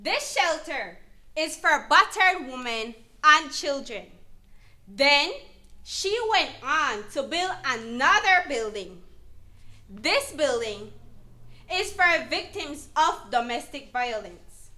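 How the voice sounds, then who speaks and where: A woman's voice: high-pitched, big pitch swings, takes it slowly, pushed and bright, full character voice. A woman playing an earnest, emphatic advocate who counsels an abused mother in an educational dramatization. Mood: proud, explanatory. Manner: emphatic, instructive. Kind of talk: educational dramatization, deliberate explanatory delivery.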